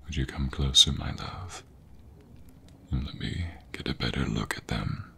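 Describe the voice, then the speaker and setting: A man playing a devoted king in a romantic fantasy ASMR roleplay, speaking tenderly in a breathy, gravelly murmur with formal, courtly phrasing. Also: very deep voice, expressive, unhurried, bright, forceful tone, full character voice. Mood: tender, loving. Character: devoted young king secretly in love with a commoner.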